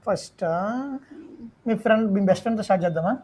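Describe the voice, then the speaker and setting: Very fast-talking young Telugu man. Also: sits high, warm tone, slightly rough. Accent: Telugu, coastal Andhra. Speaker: prank caller. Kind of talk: casual phone conversation.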